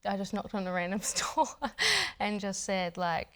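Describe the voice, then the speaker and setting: A woman's voice: mid-range voice, level tone, moderate pace. A woman, a footballer guest chatting casually in an interview, hesitant with many fillers and light laughs. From Australia.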